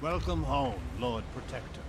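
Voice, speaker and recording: A man's voice: medium pitch, moderate pace, close to an everyday voice. A man playing a haughty, cynical courtier in a video game, with a dry, condescending manner. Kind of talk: dramatic video game acting. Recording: game scene with loud ambient noise.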